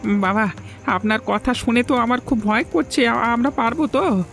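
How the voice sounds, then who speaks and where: A man's voice: very high voice, moderate pace, a bit bright, faint music behind. A man playing a timid, earnest young villager in a fairy-tale cartoon, with an anxious, questioning manner. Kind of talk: dramatic dubbed cartoon dialogue.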